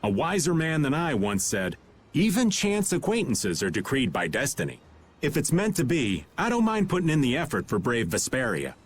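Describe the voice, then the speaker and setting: Roguish middle-aged guild veteran, lively swooping delivery, breezy and teasing, video game dub, faint noise behind. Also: male voice, medium pitch, moderate pace. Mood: breezy, wry. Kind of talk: animated video game dubbing.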